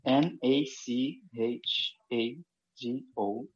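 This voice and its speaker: A man with a Rio de Janeiro accent, a native Portuguese speaker, guesting on a podcast. He talks slowly and carefully in a polite manner, and his voice comes through dull and slightly muffled. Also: mid-range voice, fairly even intonation. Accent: Brazilian, Rio de Janeiro.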